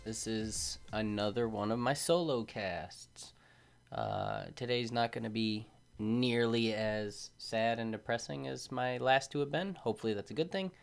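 A man's voice: mid-range voice, a little slow, creaky, clean tone. A man hosting a solo podcast, talking casually in a drowsy, rambling way and often breaking off mid-sentence. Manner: sleepy, rambling, self-interrupting. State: drowsy from medication. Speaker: podcast host.

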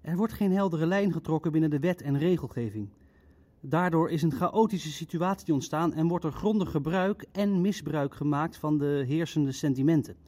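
Male official, acted, fairly high voice, precise and argumentative; a cautious legal-minded Nazi bureaucrat for naturalistic radio drama. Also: moderate pace, dark tone, close to an everyday voice. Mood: firm, explanatory. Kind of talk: Dutch audio drama acting, naturalistic meeting dialogue.

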